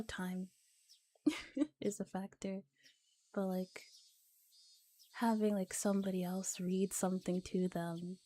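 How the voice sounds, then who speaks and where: A woman with a mellow, dark-toned voice chats casually on a relaxed stream. She speaks softly and slips now and then toward a whisper, with a giggly manner. Faint background noise sits behind her. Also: fairly low pitch. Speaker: streamer reading visual novels aloud. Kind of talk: relaxed casual livestream chat, ASMR.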